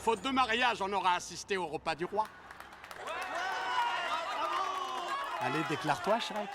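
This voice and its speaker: An actor voicing a chatty, wisecracking male donkey sidekick in an animated film dub, babbling nonstop and flattering nervously. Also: high voice, fast talker, over a noisy crowd, heavy background noise. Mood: cocky. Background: film score music.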